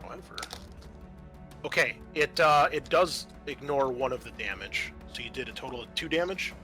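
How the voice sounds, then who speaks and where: A man narrating a tabletop roleplaying game as game master, pausing often as he works out what to say. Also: medium pitch, pushed and bright, faint music behind.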